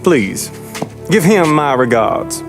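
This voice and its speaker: A man playing a young, friendly but easily rattled bootlegger in a crime video game, with a folksy, excitable manner. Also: fairly high pitch, slow pace, over music. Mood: polite, friendly. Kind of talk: cinematic video game acting.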